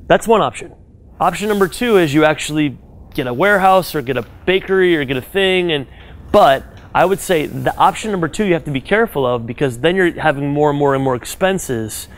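Male speaker, live audience talk, energetic and direct, slightly higher register. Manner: animated, direct, second-person advice with frequent "right?". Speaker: business speaker advising an audience.

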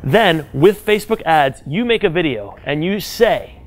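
A man giving direct business advice to a live audience, animated and conversational, checking in with "right?" as he goes. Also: a little high, a little slow. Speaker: business speaker advising an audience. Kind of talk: live talk to a room audience.

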